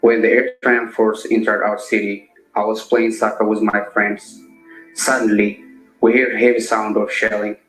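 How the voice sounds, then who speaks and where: A man playing a nineteen-year-old, reading a scripted first-person account in a steady way. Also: fairly low pitch, moderate pace, close to an everyday voice. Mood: somber. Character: young man of nineteen, shot while fleeing. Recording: echoey room with faint music.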